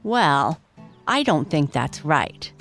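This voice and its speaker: A woman's voice: sits low, very animated, takes it slowly, fairly bright tone, faint music behind. A woman reading a scripted bedtime story for children as its narrator.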